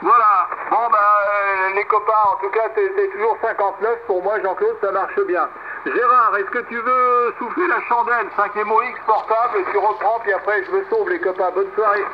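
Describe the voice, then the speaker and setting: A man's voice: sits high, steady tone, normal speed. A man chatting hesitantly as an amateur operator over single-sideband shortwave radio. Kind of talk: casual radio exchange with call signs. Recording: muffled shortwave radio, loud static. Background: loud radio noise.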